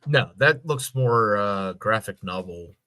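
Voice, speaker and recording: A podcast co-host, a man with a somewhat low voice and a bright, forceful edge. He talks casually about fandom, working through his thoughts haltingly and repeating words as he goes. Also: expressive. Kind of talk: casual fan discussion.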